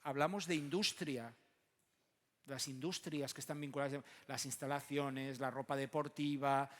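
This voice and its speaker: A man from moderates a conference panel, speaking haltingly and often ending on short tag questions. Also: mid-range voice, steady tone, a bit bright. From Barcelona, Spain. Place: large conference room. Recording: echoey hall with long reverb.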